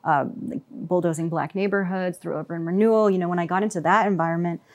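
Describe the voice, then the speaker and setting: A woman with a slightly low voice talks through her thoughts in a casual panel discussion. She is reflective and searches for words, leaning on frequent fillers as she goes. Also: steady tone, fast talker. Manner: reflective, many fillers like "you know" and "like". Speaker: panel guest.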